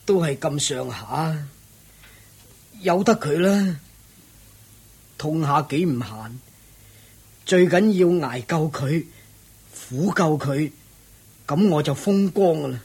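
A proud ascetic worn down by suffering, in a radio drama. The voice sits somewhat high and is extremely hoarse. He drags out his words with weary endurance. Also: takes it slowly, pauses often, a little mellow, exaggerated voice. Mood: pained, proud. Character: suffering, proud male ascetic covered in sores. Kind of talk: Cantonese radio drama acting.

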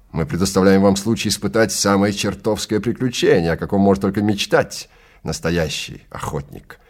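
Provocative agency clerk, played by a male narrator, low register, blunt salesman delivery, audio drama. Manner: forceful, salesman-like.

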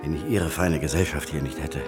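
An actor playing a polite, formal German army major in a radio drama. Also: male voice, very deep voice, brisk, music in the background. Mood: courteous. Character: courteous German army major, friendly to his prisoners.

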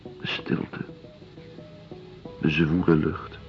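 An actor playing a weary, wistful Russian researcher in a radio drama, speaking in measured, reflective phrases. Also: male voice, extremely low pitch, flat delivery, vocal fry, full character voice. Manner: measured, pausing between short reflective phrases. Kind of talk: radio drama acting, lyrical and slow. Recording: dull lo-fi radio drama recording. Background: music.

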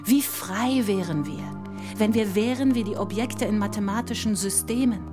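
A woman's voice: medium pitch, lightly acted. Woman playing a dreamy, musing philosophical mathematician over music. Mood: musing, longing. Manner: measured, musing, lyrical. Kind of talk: poetic radio drama monologue. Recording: prominent music behind the voice.

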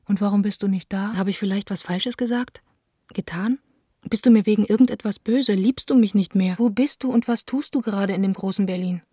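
A woman's voice: medium pitch, a little fast, dark tone, husky, no room sound, clean studio sound, close to an everyday voice. An actress playing a lovesick young woman who reads her intimate, wistful letters aloud in a radio play. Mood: wistful, hurt.

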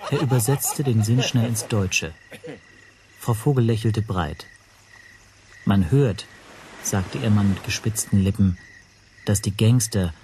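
An actor playing an observant language teacher who narrates his story in a measured, dryly descriptive way for a radio drama. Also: fairly low pitch, darkish tone, a little hiss or hum, lightly acted.

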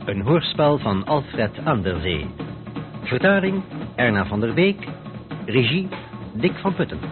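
A man's voice: medium pitch, big pitch swings, unhurried. A man formally announcing the credits of a radio play. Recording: phone-like old recording, loud percussion music. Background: percussive music.

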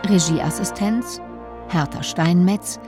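A woman's voice: lowish voice, slow, over music. A woman formally reading out credits as the announcer of a radio play.

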